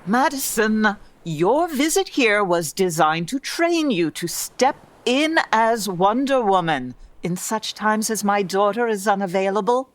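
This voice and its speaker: A woman's voice: mid-range voice, animated, measured pace, hardly any pauses, dry recording, close to an everyday voice. A woman playing a dignified, exasperated Amazon queen with a formal, stern manner in a comedy audio drama. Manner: formal, stern, long-suffering.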